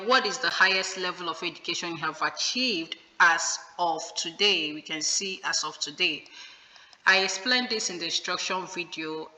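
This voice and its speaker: A woman talks viewers through an online form step by step, patient and instructive. She repeats the points that matter. Her voice is very bright, and a long reverb trails behind it. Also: fairly low pitch. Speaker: video host explaining a visa form. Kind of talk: screen-recorded tutorial walkthrough. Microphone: voice-over on a screen recording. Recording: echoey room with long reverb.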